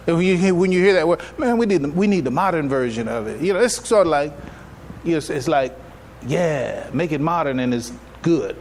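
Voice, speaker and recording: Guy giving an islamic lecture, slightly high voice, halting n conversational, repeats himself, bit of a noisy live recording. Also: animated, darkish tone. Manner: conversational, halting, often repeats phrases and checks in with "right?". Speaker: imam giving a public lecture. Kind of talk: religious lecture, explaining to an audience.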